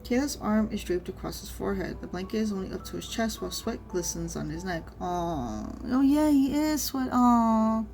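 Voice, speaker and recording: A woman of medium pitch narrates a game story as a streamer. She moves between reading aloud and casual, expressive reactions, with some noise and ambience behind her. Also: moderate pace, gravelly, few pauses.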